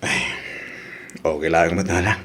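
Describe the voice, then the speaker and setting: A weary, remorseful radio-drama husband. His rough, lowish voice carries a Filipino accent and an emotive, pleading delivery. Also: fairly even intonation, unhurried, fairly bright tone. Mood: dejected, aggrieved.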